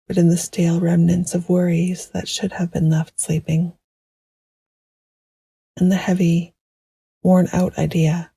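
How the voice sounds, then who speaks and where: A woman guiding a meditation in a gentle, hushed, poetic style, with a soft, breathy voice that often nears a whisper. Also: on the low side, no echo. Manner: gentle, hushed, unhurried, soothing. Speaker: meditation guide. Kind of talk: slow poetic guided meditation narration.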